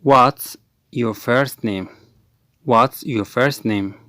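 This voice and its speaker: A man leads a language lesson with a smooth, resonant voice at an ordinary pitch. He repeats each example twice at a slow, careful pace and rattles off his explanations between them. The recording is close and dry. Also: fairly bright tone, dry recording. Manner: says each English phrase twice, slowly and clearly. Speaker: English teacher.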